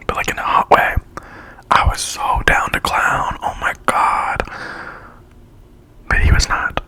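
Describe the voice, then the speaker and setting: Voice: pushed and bright, rough recording. Chatty whisperer telling a story. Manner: chatty, slangy, acts out quoted lines. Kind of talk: whispered ASMR storytime while eating.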